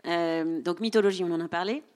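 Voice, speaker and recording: A woman's voice: on the low side, fairly quick, a little mellow. A woman moderating a public book discussion, playful and teasing, laughing often as she questions guests and audience. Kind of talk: hosting a live public discussion. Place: public event room with audience.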